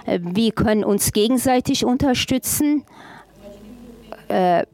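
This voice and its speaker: Woman, from Afghanistan, panel speaker, impassioned, halting restarts, dry close sound. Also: fairly high pitch, dark tone, no echo. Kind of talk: public panel statement.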